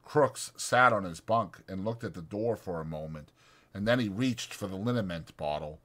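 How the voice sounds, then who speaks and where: A man's voice: low voice, moderate pace, fairly bright tone. A man reading a novel aloud as dramatic, scripted audiobook narration.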